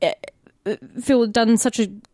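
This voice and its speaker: A woman's voice: fairly high pitch, normal speed, a little mellow, dry recording, studio quality. A woman with an Australian accent chatting casually and candidly as an actor on a podcast, swearing freely. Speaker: podcast cast member, actor. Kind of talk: casual chat.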